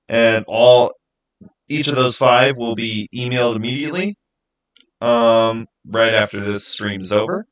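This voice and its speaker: A man's voice: medium pitch, rough recording. A man hosting a livestream, chatting casually and informally straight to his viewers. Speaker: live stream host running a giveaway.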